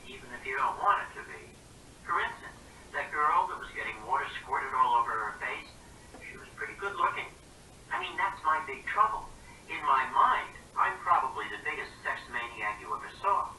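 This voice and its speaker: A man reading a novel aloud in the first person, conversational and expressive, with a very bright tone and a lively rise and fall. He sits well back from the mic in a roomy space. A faint hiss runs underneath. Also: medium pitch, recorded from a distance. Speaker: reader.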